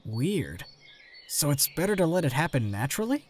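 A performer voicing a self-conscious, frustrated teenage goat monster boy in a comic dub, expressive and self-deprecating. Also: mid-range voice, moderate pace, a little hiss or hum, close to an everyday voice. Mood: dry, unsure.

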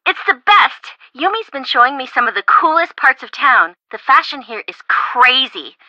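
A woman's voice: high voice, normal speed, no room sound, highs cut off, heavily acted. An actress playing a bubbly, chatty, teasing young American woman, a shopping-loving best friend in a video game. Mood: breezy, enthusiastic. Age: young adult. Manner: expressive, teasing, casual.

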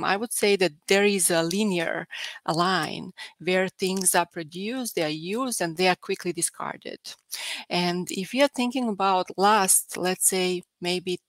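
Young Serbian woman, lower voice, dry headset sound, halting online lecture. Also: fairly bright tone, no room sound. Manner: hesitant, many filler sounds. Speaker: guest lecturer. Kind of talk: online academic talk. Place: home room by video call.

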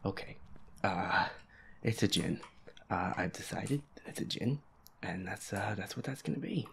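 A man's voice: lowish voice, expressive, measured pace, vocal fry, a little hiss or hum. A man playing a feisty werewolf boyfriend in an audio roleplay, muttering nervous, sweary complaints while playing a horror game. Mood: rattled, resigned. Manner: sweary, jittery, muttering complaints under the breath.

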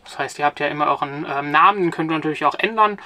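A man's voice: a little high, fast, sharp tone, a little hiss or hum. A man in his twenties explaining a product to the camera from inside a parked camper van, hesitant, with many filler sounds and restarts. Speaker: company product presenter.

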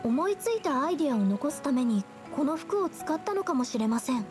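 High-pitched, shy young woman painter. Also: very animated, a little fast. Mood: earnest explaining. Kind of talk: anime game voice acting. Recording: game dialogue over background music.

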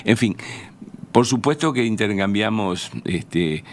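An older man from Uruguay with a low-pitched voice and a Uruguayan accent. He speaks as an interview guest in a radio studio, close to the mic. His explanations are measured and conversational, with searching pauses. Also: expressive, a little hiss or hum. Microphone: close studio microphone on a stand.

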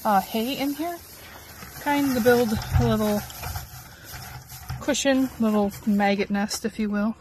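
A woman's voice: a little high, fairly even intonation, a little slow, a little mellow, rough recording. A middle-aged woman giving a chatty, informal how-to on a phone outdoors, explaining as she goes with some hesitation. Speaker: chicken keeper presenting a how-to. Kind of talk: casual chatty how-to vlog. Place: outdoors in a farmyard chicken run. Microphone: handheld phone at arm's length. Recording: outdoor phone audio with background noise. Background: chickens clucking, outdoor noise.

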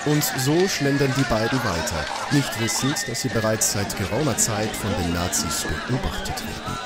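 A man's voice: medium pitch, lively intonation, normal speed, over loud music, over a noisy crowd. A man narrating an audio drama from a script in German storytelling fashion. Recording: loud film score behind.

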